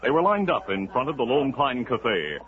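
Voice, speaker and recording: A man giving scripted, measured narration for a 1950s radio drama. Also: medium pitch, sing-song, a crowd in the background. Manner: measured storyteller, building to urgency in action scenes. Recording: muffled 1950s radio recording with loud hiss.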